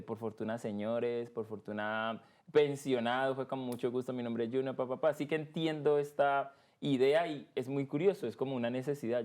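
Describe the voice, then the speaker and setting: A man with a Colombian accent from Cali, hosting a casual podcast interview and slowing down to stress key words. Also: medium pitch. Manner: expressive, slows down to stress words. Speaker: podcast host.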